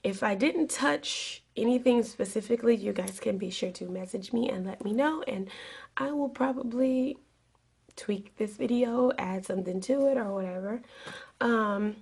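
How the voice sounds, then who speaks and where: A young woman with a raspy voice, getting over a cold, rambling earnestly through a casual advice vlog to her camera. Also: on the high side, moderate pace, darkish tone. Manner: rambling, earnest, with many fillers. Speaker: flight attendant vlogger.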